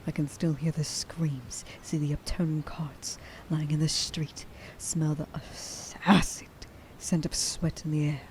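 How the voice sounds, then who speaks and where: A woman playing a stern, vengeful werewolf huntress in an ASMR roleplay, speaking to the listener with deliberate contempt and menace, often slipping into a breathy whisper. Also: low voice, level tone, a little mellow. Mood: bitter grief. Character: stern, vengeful female werewolf huntress, grieving a lost friend.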